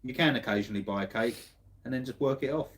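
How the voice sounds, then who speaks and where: A woman in her thirties with an unusually low voice, chatting casually as co-host. She explains things in a plain, matter-of-fact way. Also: fast, husky. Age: 30s. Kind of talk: casual chat.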